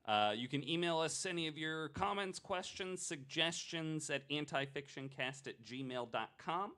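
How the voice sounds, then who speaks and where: A man's voice: a little high, expressive, a little slow, sharp tone. A man hosting a podcast in casual, laid-back chat, with slangy and sometimes sweary wording. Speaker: podcast host.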